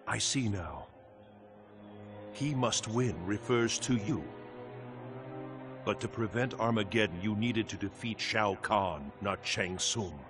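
A man playing a grave mystical guardian, measured and portentous, in dramatic game acting. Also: on the low side, over music. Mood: grave realisation. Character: solemn, grave mystical guardian full of foreboding.

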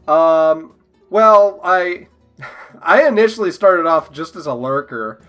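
A man's voice: on the high side, animated, slow, fairly bright tone, faint music behind. A young man chatting casually as a podcast guest, joking and giggly as he draws. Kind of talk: casual chat while drawing.

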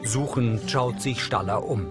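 A man's voice: medium pitch, unhurried, loud music behind the voice. A man reading scripted scene narration for the audio description of a TV drama.

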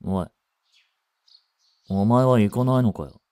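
A man playing a brusque teenage schoolboy, a gruffly caring childhood friend with blunt, casual speech, in a romantic voice drama. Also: lowish voice, unhurried, mellow, no echo, close to an everyday voice. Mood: casual, teasing. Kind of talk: Japanese romantic situation voice drama.

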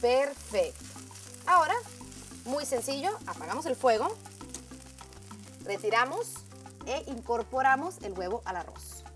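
A woman's voice: high voice, very animated, measured pace, pauses often, a bit bright, faint music behind. A woman hosting a cooking show, walking viewers through a recipe step by step in an upbeat, friendly way, calling them friends. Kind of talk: scripted cooking demonstration.

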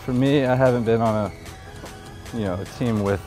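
A man's voice: mid-range voice, moderate pace, music in the background. A young man, a college baseball player, chatting casually in an interview while walking outdoors on a campus. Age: young adult. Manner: relaxed, laid-back, lots of fillers.

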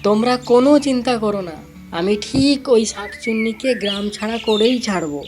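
A woman's voice: a little high, brisk, over music, natural voice. A woman voicing a fearless, boastful young male village hero in a cartoon, confident and commanding. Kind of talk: cartoon dialogue.

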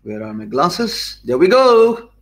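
A man in his forties with a Pakistani English accent, teaching live to viewers in a slow, easy, conversational way from an office. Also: a little high, sing-song. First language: Urdu. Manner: deliberately slow, easy speech; thinks aloud, loose and conversational. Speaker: online course instructor. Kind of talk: live stream talk to viewers. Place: office room, indoors. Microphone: desk mic in front of him.

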